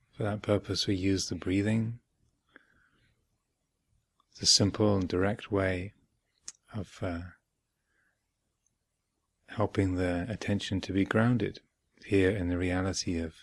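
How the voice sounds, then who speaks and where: Very slow, creaky-voiced man guiding meditation. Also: sits low, steady tone, no room sound. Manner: measured, with long pauses between short phrases. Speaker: meditation teacher. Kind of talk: guided meditation instruction. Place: retreat center, close dry recording.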